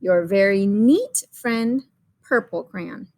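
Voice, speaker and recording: A woman's voice: mid-range voice, lively intonation, slow pace, darkish tone. A woman voicing a neat, fussy purple crayon, insistent and exasperated, in a children's picture book read-aloud.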